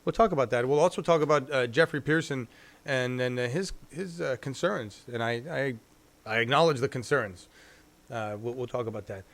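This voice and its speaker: A man hosts a casual live-stream, talking things through out loud. He rambles and restarts as he goes. His voice sits at an ordinary middle pitch. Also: normal speed. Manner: rambling, chatty, thinks out loud. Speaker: show host.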